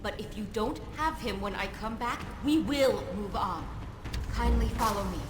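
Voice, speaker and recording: A woman's voice: fairly high pitch, normal speed, lightly acted. A woman playing a stern, impatient mission leader in a video game, with a curt, commanding manner. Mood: brisk, firm. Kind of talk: video game cutscene acting. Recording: roomy echo, game ambience noise behind.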